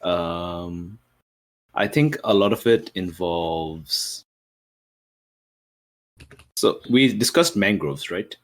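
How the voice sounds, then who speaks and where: A man's voice: low voice, very slow, long pauses. A man in casual improvised worldbuilding talk as a game player, tentatively thinking aloud.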